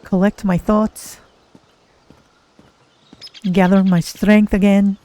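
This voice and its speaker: A streamer voicing a gentle cat-folk adventurer in a video game roleplay, speaking in deliberate, formal phrases as if musing aloud. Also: mid-range voice, level tone, natural voice. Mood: musing, calm.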